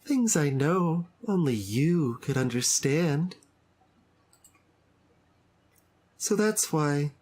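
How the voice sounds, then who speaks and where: A woman playing a sweet, earnest high school club president in a visual novel, speaking in a gentle, hesitant, confiding way. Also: very animated, slow pace, full character voice. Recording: music behind the voice. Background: background game music.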